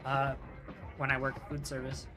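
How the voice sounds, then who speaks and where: A man's voice: mid-range voice, completely flat delivery, measured pace, pushed and bright, faint music behind. A young man chatting casually and swearing freely, then reading a game sourcebook aloud with small stumbles. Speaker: podcast co-host.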